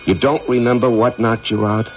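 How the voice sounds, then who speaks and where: An American actor playing a middle-aged, uneasy, secretive astronaut in a 1980s radio drama, hesitant and guarded. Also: male voice, mid-range voice, little pitch movement, a little fast, a little hiss or hum, close to an everyday voice. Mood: evasive. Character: uneasy, secretive veteran astronaut nearing retirement. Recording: lo-fi old radio broadcast, faint music.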